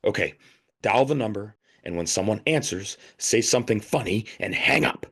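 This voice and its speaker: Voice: medium pitch, animated, moderate pace, a bit bright, husky. An actor in an audio play voicing an anxious, exasperated hotel guest who sputters and hesitates wryly. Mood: wry, doubtful.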